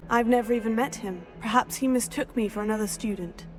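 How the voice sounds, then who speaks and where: A woman's voice: a little high, brisk, soft background music, close to an everyday voice. A woman playing a polite, earnest, determined teenage student witch in a video game. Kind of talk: video game character acting.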